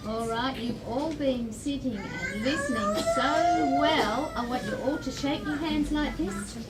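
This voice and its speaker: A woman's voice: high voice, lively intonation, a little slow. A woman hosting a children's storytime, giving simple, encouraging directions to a group of young children. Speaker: library storytime host. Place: indoor library event room. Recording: distant mic, loud room noise.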